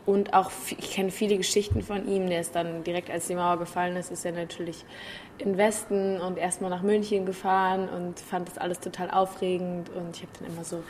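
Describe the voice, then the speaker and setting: A woman in her twenties from Berlin with a Berlin accent, an actress chatting casually as an interview guest, hesitant, often trailing off softly. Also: on the low side, flat delivery, brisk, a little hiss or hum. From Germany. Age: 20s.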